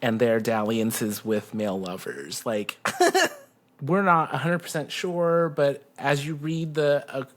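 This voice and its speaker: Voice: medium pitch, steady tone, slow pace. A podcast guest co-host chatting casually and telling anecdotes in an informal, giggly way. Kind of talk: casual chat.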